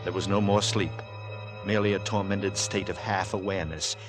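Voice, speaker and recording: A low-voiced, grave narrator, played by a man, tells a Victorian horror tale. The delivery is measured and dramatic, in vintage radio suspense style, with music clearly behind it. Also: steady tone, close to an everyday voice. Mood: brooding, suspenseful.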